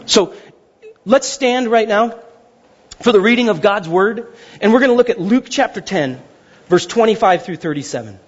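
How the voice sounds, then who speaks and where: Animated, high-pitched man preaching. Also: normal speed, long pauses, slightly rough, highs cut off. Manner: informal, animated, rhetorical check-ins to listeners. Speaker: pastor preaching to a congregation. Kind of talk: conversational sermon with scripture reading.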